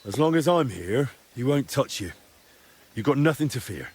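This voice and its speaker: A man's voice: medium pitch, very animated, normal speed. A man playing an earnest young investigator in a medieval video game drama, direct and questioning, reassuring but pressing. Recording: clear background ambient noise.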